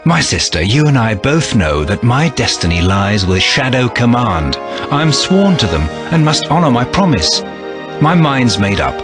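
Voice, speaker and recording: Animated, resolute soldier hero, acted by a man. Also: mid-range voice, moderate pace, music in the background, close to an everyday voice. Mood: earnest, resolute. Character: noble, resolute soldier brother, sworn secret agent. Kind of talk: dramatic video game cutscene acting.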